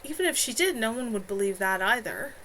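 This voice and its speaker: A woman's voice: on the high side, animated, a little fast, pushed and bright. A woman voicing a distraught, self-pitying young man's inner monologue in a fantasy novel. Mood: despairing. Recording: faintly noisy home livestream recording.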